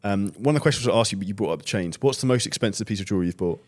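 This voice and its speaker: A low-voiced man hosts a podcast at a quick clip. His questions and remarks come in casual, blunt language with swearing mixed in. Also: animated. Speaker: podcast host, online business coach. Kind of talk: casual interview chat.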